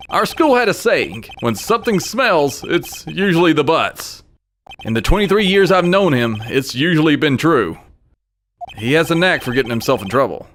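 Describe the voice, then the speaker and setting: A man's voice: on the high side, a little hiss or hum. A man playing an earnest, hesitant young defense lawyer in a video game fandub, given to stammering under pressure. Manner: hesitant, stammering when put on the spot. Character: earnest, nervous rookie male defense lawyer in his twenties. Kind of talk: game fandub acting with inner monologue. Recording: loud music bed under the voice.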